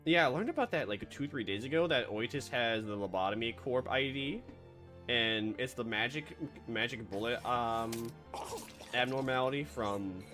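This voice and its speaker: A streamer chatting through a game stream in a joking, rambling way, with a voice they call monotone. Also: mid-range voice. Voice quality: self-described monotone voice. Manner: joking, rambling, often restarts. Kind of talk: casual game reaction stream.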